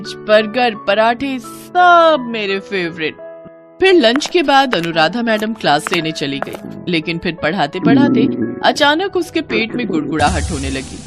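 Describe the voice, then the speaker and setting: A woman narrating a scripted animated moral story as storyteller, in an expressive, dramatized way. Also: fairly low pitch.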